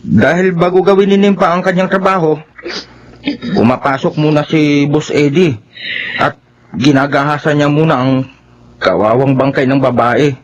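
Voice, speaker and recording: A man acts out a deferential Filipino employee in a radio horror drama. His voice sits a little high for a man. He explains grim secrets haltingly and respectfully, as if to his elders. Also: steady tone, dull audio, close to an everyday voice. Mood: grave, confiding. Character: deferential male employee grimly confessing his boss's crimes.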